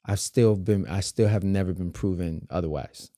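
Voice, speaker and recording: Warm-voiced man, emphatic and animated. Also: low-pitched, normal speed, dry recording, studio quality. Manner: animated and emphatic, builds points through vivid comparisons. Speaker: dance teacher and battle judge, guest. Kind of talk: casual conversational interview.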